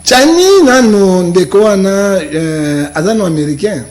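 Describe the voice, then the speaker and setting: A Congolese man giving an animated, emphatic argument as an interview guest, repeating himself and throwing out rhetorical questions. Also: sits high, unhurried. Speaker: self-styled prophet interviewed as guest. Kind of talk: animated political and religious argument. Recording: echoey, reverberant room.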